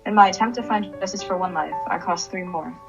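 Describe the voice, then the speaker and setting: A woman's voice: lowish voice, a little fast, creaky, highs cut off, music in the background. An actress playing a grief-crazed, vengeful mother in a radio play, intense and commanding. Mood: remorseful narration. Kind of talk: dramatic radio play acting with narration.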